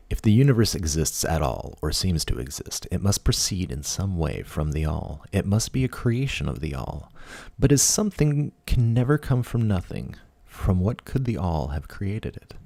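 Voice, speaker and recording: Deep-voiced man reading philosophy aloud. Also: vocal fry, warm tone, no echo. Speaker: audiobook reader. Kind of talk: expressive read-aloud of a philosophical text.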